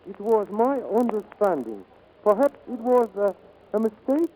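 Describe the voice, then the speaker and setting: Male character part, vintage radio: courteous, guarded innkeeper, high swooping pitch, hissy muted sound. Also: sing-song, moderate pace, dark tone. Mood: smooth, guarded. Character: polite, guarded hotel proprietor in New Guinea. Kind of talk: 1940s radio drama acting. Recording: noisy, muffled 1940s radio recording.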